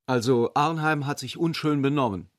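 A cool, ironic gentleman played by a man in a radio play. He has a medium-pitched voice in a dry recording and probes gently, in a measured, dryly amused way. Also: dry recording, close to an everyday voice. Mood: ironic, probing. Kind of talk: radio drama acting.

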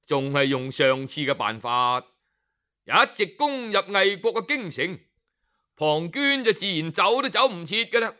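A man voicing a shrewd, calm male military strategist in a traditional storytelling recording, speaking in a measured, deliberate way. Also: high voice, full character voice. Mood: scheming.

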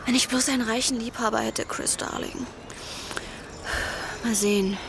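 A woman's voice: fairly high pitch, steady tone, moderate pace, creaky, background noise. An actress playing a flighty, self-absorbed young bohemian woman in a theatrical, breezy radio drama style. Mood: dreamy, playful.

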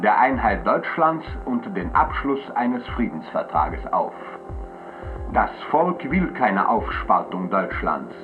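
Male propaganda announcer, dull archival sound, widely swinging pitch, declamatory slogans, 1940s broadcast style. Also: very animated, slow pace, over music.

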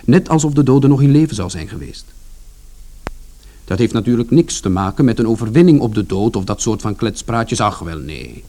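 A man's voice: fairly low pitch, expressive, normal speed, mellow. An actor playing a plain-spoken working-class son who tells his story in a colloquial, confiding first-person radio drama narration. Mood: reflective, chatty.

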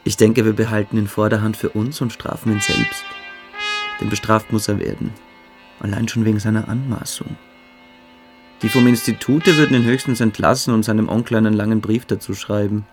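A man playing a calculating, cruel young military-school cadet in a German radio drama, speaking with deliberate, coolly superior menace. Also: fairly low pitch, steady tone, music in the background, close to an everyday voice. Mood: quietly menacing.

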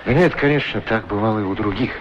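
A man's voice: lowish voice, fast, dull audio, close to an everyday voice. A man playing a melancholy, dreamy shoeshine worker in a 1960s radio play, polite, hesitant and reflective. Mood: wistful. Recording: old radio recording with clear noise.

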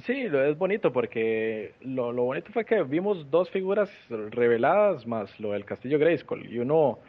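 A man from Costa Rica hosting a casual podcast chat, relaxed and thinking aloud with restarts, heard over an online call. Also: mid-range voice, moderate pace. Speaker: podcast host. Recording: tinny phone-like call audio.

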